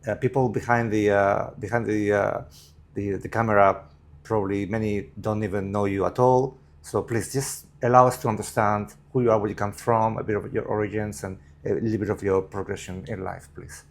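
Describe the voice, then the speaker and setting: A man's voice: fairly low pitch, steady tone, fairly quick, vocal fry. A man hosting an interview, asking casual questions hesitantly and repeating words as he goes. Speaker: interview host.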